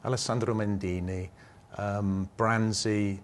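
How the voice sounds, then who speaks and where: A man with an English accent and a fairly low voice talks as an interview guest. He rambles through personal stories, often breaking off and restarting. There is faint noise and ambience behind him. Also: flat delivery, a little slow. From England. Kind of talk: conversational interview, personal anecdotes.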